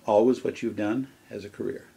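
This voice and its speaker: A man's voice: fairly low pitch, steady tone, fairly quick, creaky, warm tone, slightly rough. A man interviewing a teacher, asking questions in an easygoing, casual way with fillers.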